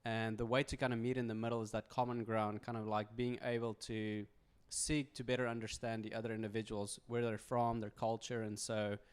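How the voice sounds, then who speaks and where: South African man in his thirties, smooth voice. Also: fairly low pitch, flat delivery, a little fast, few pauses. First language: Afrikaans. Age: 30s. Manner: friendly, talkative, says "man" a lot. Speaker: podcast guest. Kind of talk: casual chat.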